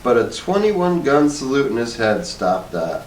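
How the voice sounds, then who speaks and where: A man reading a short story aloud as an expressive narrator. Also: mid-range voice, unhurried. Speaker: story reader. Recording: echoey room, distant mic, faint noise.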